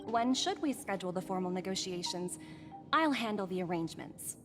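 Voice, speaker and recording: Actress as a businesslike young negotiator woman, polite, mid-pitched, game score behind. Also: expressive, a little fast, lightly acted. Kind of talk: video game voice acting. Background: game music.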